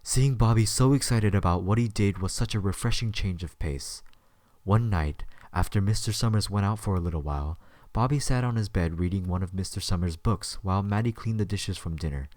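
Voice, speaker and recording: A man's voice: deep voice, a little fast, a little mellow, no room sound. A man narrating a scripted story as the narrator of an audio drama.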